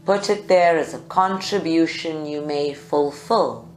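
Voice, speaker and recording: A woman leads a guided meditation in short, measured phrases with long pauses. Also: sits low. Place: live group session indoors at a creativity centre. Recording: echoey room, music behind. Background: meditation music.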